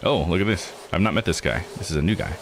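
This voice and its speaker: A man's voice: deep voice, lively intonation, fast talker, slight background noise. A man hosting a live game playthrough, chatting informally and rambling through his commentary. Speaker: live streamer and host.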